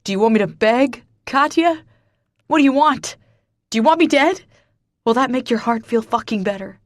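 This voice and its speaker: A woman narrator voicing a grown man who is furious and heartbroken, shouting and cursing. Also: a little high, expressive, moderate pace, no echo, clean studio sound, voice pushed up, natural voice. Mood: anguished. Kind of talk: audiobook character voice.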